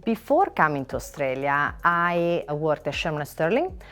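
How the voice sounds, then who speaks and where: A middle-aged woman from Italy with an Italian accent, a finance lawyer answering in a measured way, listing items with frequent pauses. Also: low voice, very animated, a bit bright, soft background music. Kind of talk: panel interview answer.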